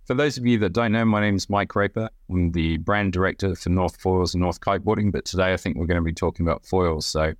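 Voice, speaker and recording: A middle-aged man with a New Zealand accent speaks in a deep voice. He talks as a relaxed podcast guest, with a lot of fillers and restarts. The recording is dry and close. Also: quick pace, hardly any pauses, no room sound. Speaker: guest, brand director. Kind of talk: casual podcast interview.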